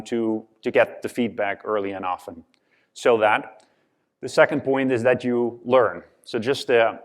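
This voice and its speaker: A man from the Netherlands with a Dutch accent, giving a conference presentation with many fillers and restarts. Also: on the low side. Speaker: conference speaker.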